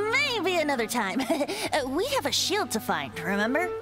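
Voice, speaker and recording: Playful poetic bard boy from a game, light high voice, very animated, theatrical. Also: measured pace, over loud music, exaggerated voice. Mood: evasive. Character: youthful whimsical male bard, playful and poetic. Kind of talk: video game voice acting.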